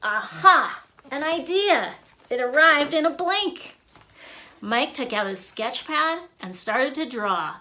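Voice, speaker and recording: Female storybook reader, high and very animated, unhurried delivery, expressive with rhymes and sound effects. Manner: expressive, emphatic sound words. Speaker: author and illustrator reading own book. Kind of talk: read-aloud of rhyming children's picture book.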